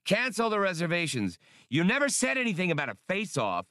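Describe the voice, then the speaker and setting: A man voicing a wry, quick-tempered space smuggler in an audiobook. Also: high-pitched, wildly swooping pitch, sharp tone, dry recording, clean studio sound, voice pushed up, full character voice. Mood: exasperated.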